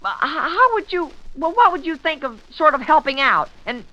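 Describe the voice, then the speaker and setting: An awkward American teenage boy from a vintage radio sitcom. The voice is high, bright and breaking, leaping about in pitch. The delivery is eager and flustered, with stammers and pleading. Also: very animated, dull audio, heavily acted. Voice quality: breaking adolescent voice. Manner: stammering, halting. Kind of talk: 1940s radio comedy acting. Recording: vintage 1940s radio broadcast.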